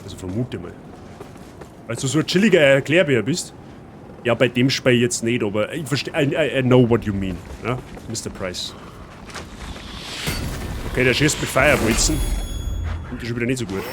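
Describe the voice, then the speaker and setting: A man's voice: mid-range voice, expressive, moderate pace, pauses often, fairly bright tone. A man with a Bavarian accent chatting casually with his viewers while playing a game. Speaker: streamer. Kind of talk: casual let's-play chat. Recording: noisy stream audio.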